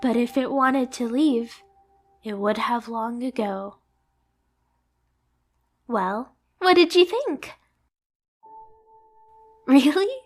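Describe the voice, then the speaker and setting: A very high, girlish catgirl played by a woman speaks slowly in an anime ASMR roleplay. She is clingy, timid and devoted, with an anxious, possessive streak, and music plays clearly behind her. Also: animated, full character voice. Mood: theatrical reciting. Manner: timid, hesitant, breathless pleading to the listener.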